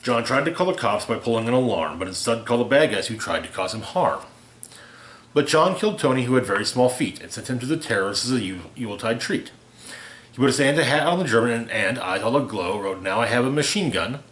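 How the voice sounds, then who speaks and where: Guy reading a rhyming xmas parody out loud, kinda theatrical, mid pitch, roomy echo. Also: animated, fast. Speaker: storyteller reading a picture book aloud. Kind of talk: expressive read-aloud of a rhyming holiday parody. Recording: roomy, echoey home recording.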